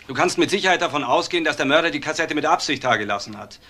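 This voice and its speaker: An actor playing a matter-of-fact police detective in a crime drama, laying out clues assertively. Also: male voice, on the high side, animated, racing, bright, forceful tone. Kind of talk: German TV crime drama acting.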